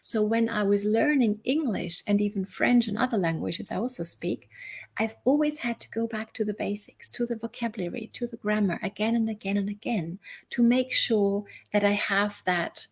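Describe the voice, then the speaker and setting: A woman's voice: mid-range voice, normal speed, slightly rough. Woman giving a motivational talk. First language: German. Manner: conversational, seeks agreement with rhetorical questions. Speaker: motivational coach and video host.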